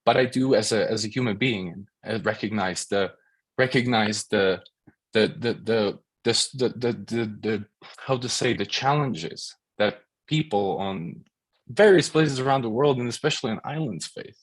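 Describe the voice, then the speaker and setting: A man from the Czech Republic, a filmmaker speaking on an online panel, halting with many restarts and repeated words. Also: lowish voice, dry recording. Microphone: video call.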